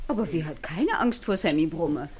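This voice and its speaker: An actress playing a flustered, nerdy expert lecturing children in stilted technical language in a radio play. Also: female voice, low-pitched, expressive, normal speed, a little mellow, a little hiss or hum. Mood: earnest, dramatic. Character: flustered, nerdy woman expert teaching children about fear.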